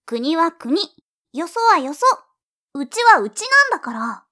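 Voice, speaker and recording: A woman playing a naive, excitable little sister in an audio drama, with a childlike, innocent manner. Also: high voice, unhurried, full character voice. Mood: dismissive. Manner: childlike, innocent, readily protesting. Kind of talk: Japanese audio drama acting.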